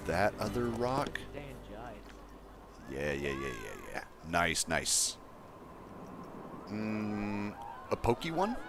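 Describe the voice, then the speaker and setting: A man with a deep voice casually chatting to his viewers as he builds in a game livestream, thinking aloud in an easygoing way. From Colorado, USA. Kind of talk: casual gameplay livestream chat.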